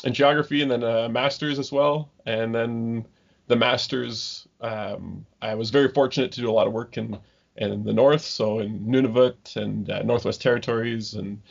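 A man in his thirties from Ontario, a guest on an interview show. His voice is gravelly and a little husky, and he talks in a halting way full of ums and restarts. The sound is dull and lo-fi, like a remote call. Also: medium pitch, steady tone. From Ontario, Canada. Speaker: guest scientist. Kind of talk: casual interview talk.

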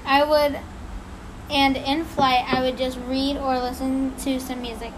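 A woman with a high voice reads a story aloud in a loose, casual way, often stumbling, restarting and apologizing. A steady hiss of noise sits under the recording. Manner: halting, apologetic, laughs at her own slips. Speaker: podcast co-host reading a story.

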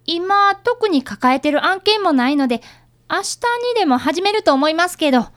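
A woman voices an earnest schoolboy apprentice detective. The voice is high and lilts widely. The boy speaks politely and eagerly, in radio drama style. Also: expressive, moderate pace, a bit bright.

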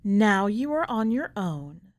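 A woman narrator voicing a stern, possessive, protective mother in a dark literary story, in a firm, declarative way. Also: mid-range voice, sing-song, slow. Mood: stern, resigned.

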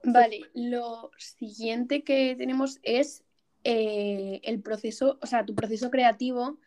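A young woman acts as the interviewer in a casual conversation. She speaks in a relaxed, informal register, putting questions and sharing her own view in an everyday conversational style. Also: fairly high pitch, takes it slowly.

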